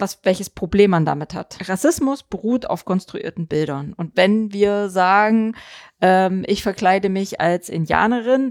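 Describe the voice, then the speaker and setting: A woman's voice: mid-range voice, darkish tone, dry recording, studio quality. A woman in a casual opinionated discussion, hesitant and searching for her words as she goes.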